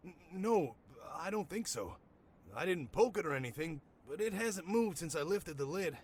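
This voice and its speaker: Rattled, higher-pitched male shop owner. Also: lively intonation, normal speed, darkish tone, husky. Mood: shaken, anxious. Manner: nervous, stammering, wryly talkative. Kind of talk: naturalistic audio drama acting.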